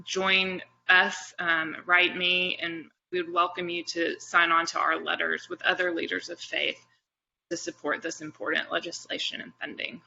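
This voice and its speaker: Woman webinar panelist, very bright forceful voice with plenty of fry, on dull call audio. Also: lowish voice, little pitch movement, moderate pace, slight background noise. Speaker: faith advocacy organizer, panelist. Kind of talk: webinar presentation. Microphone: video call.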